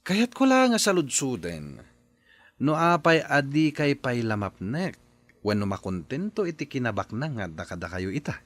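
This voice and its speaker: A man's voice: medium pitch, huge pitch swings, normal speed, no echo. A man playing a nosy, sing-song houseboy. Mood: probing. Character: nosy young houseboy questioning his boss. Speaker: servant, Dodong. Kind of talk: radio drama acting.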